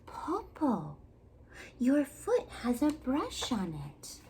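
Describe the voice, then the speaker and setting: Woman as sweetly cunning little girl, higher-pitched. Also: huge pitch swings, very slow, darkish tone, a little hiss or hum, exaggerated voice. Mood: puzzled. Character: clever eldest young girl, sweetly cunning, feigning innocence.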